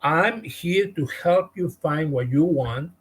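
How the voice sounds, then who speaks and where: A man with a Spanish-speaking Latin American accent, a real estate agent guest telling a story in an animated podcast interview. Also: a little high, slow pace, breathy edge. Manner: animated, retells conversations with emphasis.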